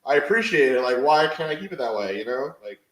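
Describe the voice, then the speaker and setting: A man in his forties co-hosting a fantasy sports show, rambling through animated stories full of "like" fillers. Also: medium pitch, fast, echoey room, crowd noise, rough recording. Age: 40s. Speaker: fantasy sports analyst co-host. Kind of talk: casual chat.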